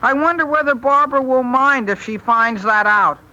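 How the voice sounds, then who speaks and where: A man's voice: extremely high pitch, normal speed, sharp tone, muffled recording, heavily acted. An actor playing an eager, nervous, hesitant teenage boy in a 1930s radio comedy. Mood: eager, surprised. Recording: old 1930s radio broadcast.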